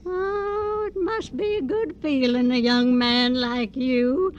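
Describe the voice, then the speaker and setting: A slow-talking, cagey old mother. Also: sits high, fairly even intonation, lo-fi sound. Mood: wistful, rambling. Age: older. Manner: folksy, uneducated speech, evasive. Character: cagey, rambling elderly mother in a rocking chair. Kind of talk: 1950s radio drama acting. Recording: noisy old radio broadcast recording.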